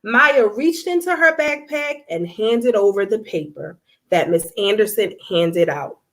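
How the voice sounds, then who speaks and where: A woman's voice: medium pitch, measured pace. A woman reading a children's storybook aloud expressively, speaking directly to her young listeners.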